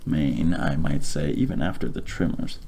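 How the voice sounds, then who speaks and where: A soft-spoken ASMR roleplayer as a barber, hushed and breathy, often slipping into a whisper, with courteous, soothing, slightly formal phrasing. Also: a bass voice, normal speed, slight background noise. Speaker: ASMR creator playing a barber.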